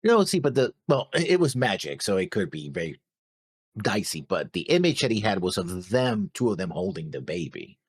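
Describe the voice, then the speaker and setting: A man co-hosting a reaction show, talking casually and haltingly as he thinks aloud about a series. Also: low voice, big pitch swings, breathy edge, no echo, studio quality. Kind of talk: casual discussion of an animated series.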